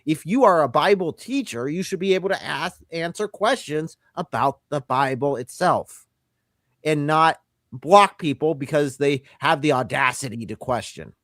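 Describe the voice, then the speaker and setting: A man hosts a livestream and speaks in an earnest, emphatic way. His voice sits a little high and is recorded close and dry. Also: lively intonation, moderate pace, fairly bright tone, no echo. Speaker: livestream co-host. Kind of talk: livestream commentary and call-in.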